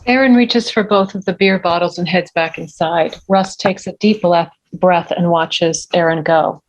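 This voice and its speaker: A woman's voice: lowish voice, steady tone, measured pace. A woman reading screenplay scene directions as scripted narration, on a slightly lo-fi recording. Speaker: stage-direction reader. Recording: slightly dull, lo-fi audio.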